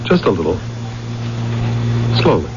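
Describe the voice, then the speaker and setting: An actor playing a cold, bitter, scheming man who narrates and coaxes in a deliberate, measured 1970s radio mystery style. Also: sits low, huge pitch swings, noisy background, full character voice. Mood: smooth, casual. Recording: muffled vintage radio broadcast, loud music behind.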